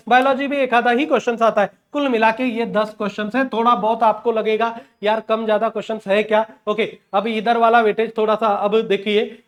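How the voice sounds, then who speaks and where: A man with an Indian accent lecturing as an exam coaching teacher, instructional, mixing in English terms and often checking in with short tag words. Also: sits high. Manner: instructional, punctuates points with check words like "okay" and "right"; mixes English terms into speech.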